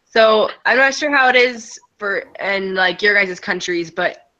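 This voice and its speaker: A woman's voice: mid-range voice, measured pace, sharp tone. A young woman from the United States chatting casually in an online meeting, using lots of "you know" and "like" and laughing easily. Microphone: video call. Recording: muffled video call audio.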